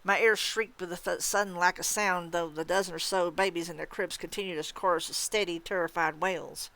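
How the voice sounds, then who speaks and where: Low-voiced woman reading dramatically. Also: brisk. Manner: expressive, suspenseful. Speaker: story reader. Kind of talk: dramatic audiobook-style narration.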